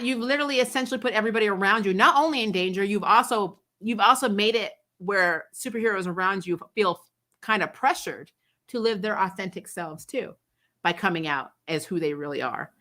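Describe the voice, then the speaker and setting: A woman's voice: medium pitch, pushed and bright. A woman hosting a recap show, giving casual, opinionated fan commentary in a blunt, conversational way.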